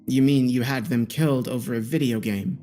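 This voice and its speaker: A man's voice: mid-range voice, a little fast, warm tone, natural voice. A man voicing a firm, coaxing male police detective questioning suspects in a dramatic audio story. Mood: firm, probing. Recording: background music behind the voice.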